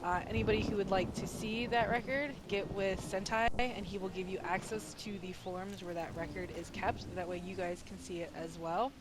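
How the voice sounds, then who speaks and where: A woman's voice: medium pitch, steady tone, moderate pace, hardly any pauses, background noise. A woman who keeps the guild bank, giving practical updates in a casual voice chat with frequent fillers.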